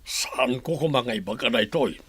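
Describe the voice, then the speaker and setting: A man's voice: medium pitch, moderate pace, fairly bright tone, breathy edge, no room sound. A man acting a bossy, scornful employer in a Filipino radio drama, with a brusque, demanding manner. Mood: needling.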